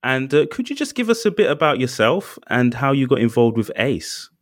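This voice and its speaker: Male cohost, average pitch, dry close sound, casual chat with guests. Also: very animated, moderate pace, no room sound. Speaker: podcast co-host.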